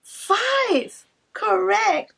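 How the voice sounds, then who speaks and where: A woman's voice: very high voice, lively intonation, extremely slow, vocal fry, a bit bright. A woman co-hosting a read-aloud for young children, exclaiming and explaining things simply.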